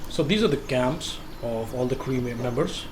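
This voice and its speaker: A man's voice: mid-range voice, expressive, normal speed. Guy explaining game stuff as he plays, loose grammar, noisy audio. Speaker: gameplay commentator. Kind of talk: casual game walkthrough commentary.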